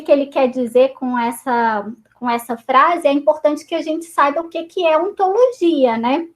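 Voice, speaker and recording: A woman with a Brazilian Portuguese accent, a teacher leading an online reading circle, chatty, with many fillers and restarts. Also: high voice. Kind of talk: online class discussion. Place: home, video call. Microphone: computer mic on a video call.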